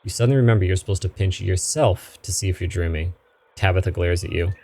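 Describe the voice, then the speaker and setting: A man's voice: deep voice, fast, vocal fry, dark tone. A man reading a story game aloud as narration, with game music behind him. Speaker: video game player reading a story game aloud, voicing the characters.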